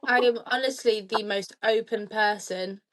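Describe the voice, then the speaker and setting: A woman's voice: mid-range voice, a little slow, a bit bright, a little hiss or hum, rough recording. A young adult woman co-hosting a casual podcast chat, saying "like" in nearly every phrase. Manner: says "like" constantly. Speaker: podcast co-host.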